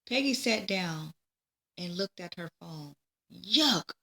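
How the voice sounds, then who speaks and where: A woman reading a children's storybook aloud, with expressive, deliberate phrasing. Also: fairly low pitch, gravelly. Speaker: storybook reader. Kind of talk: children's read-aloud narration.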